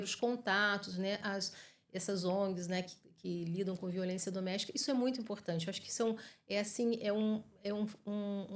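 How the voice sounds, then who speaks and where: Brazilian woman in her 40s, mid pitch, explains things earnestly, lots of little tag questions. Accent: Brazilian Portuguese. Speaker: guest psychologist. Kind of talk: conversational interview answers.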